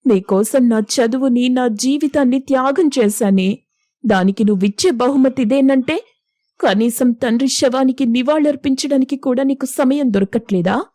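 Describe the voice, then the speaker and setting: A woman's voice: sits high, moderate pace, warm tone, no room sound, clean studio sound. A woman playing a self-sacrificing young elder sister in an audio story, hurt, proud and earnest. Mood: anguished anger. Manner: earnest, emotional. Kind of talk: acted dialogue in an audio story.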